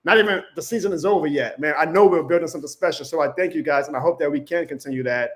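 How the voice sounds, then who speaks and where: A man's voice: a little high, racing. Slangy man hosting a fan stream. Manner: animated, loose and slangy, shouts out callers. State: losing his voice. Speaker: host of a fan livestream show. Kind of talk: casual livestream chat.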